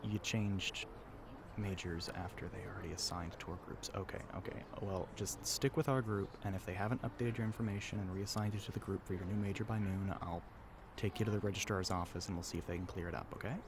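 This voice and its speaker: A man playing a low-voiced, teasing young tour guide. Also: steady tone, brisk, background noise, natural voice. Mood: friendly, quieter. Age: young adult. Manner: casual, chatty, teasing, sighs between remarks. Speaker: audio roleplay voice actor. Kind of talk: scripted roleplay addressed to the listener.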